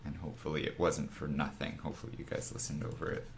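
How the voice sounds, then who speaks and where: A man with a raspy, groggy morning voice, casually rambling through a drawing lesson on a live stream, with many restarts. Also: very deep voice, monotone, quick pace, background noise. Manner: rambling, full of restarts and fillers. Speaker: art streamer teaching figure design.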